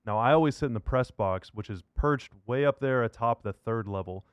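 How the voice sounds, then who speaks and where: Male sports talk host, medium-pitched, conversational interviewer, dry studio sound. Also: fairly quick, smooth, no echo. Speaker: podcast and radio show host.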